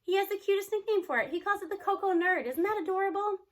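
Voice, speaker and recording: Woman voicing a high, earnest, consoling schoolteacher. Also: fast talker. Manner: earnest, gently firm, consoling. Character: kindly, reassuring female middle-school teacher.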